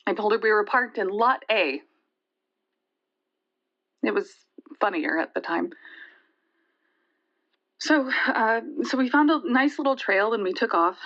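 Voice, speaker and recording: Nervous woman calling a radio show, halting storyteller, muffled lo-fi sound. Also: a little high, expressive. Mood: uneasy, haunted. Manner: hesitant, halting. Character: nervous female caller recounting a frightening memory. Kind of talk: halting personal storytelling, audio drama.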